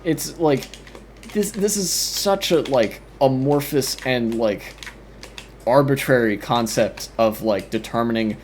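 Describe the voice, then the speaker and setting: A man's voice: mid-range voice, slow, a little mellow, slight background noise. A man commenting casually on a debate clip as a streamer, rambling with many fillers and restarts.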